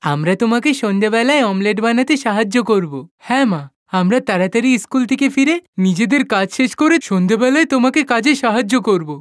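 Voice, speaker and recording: A woman voicing a devoted, eager young son in an animated moral story, earnest and pleading. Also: a little high, brisk, dry recording, clean studio sound, lightly acted.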